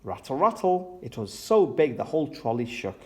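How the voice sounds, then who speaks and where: Man, mid-pitched, animated intonation, expressive picture-book read-aloud. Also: moderate pace. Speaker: children's story reader.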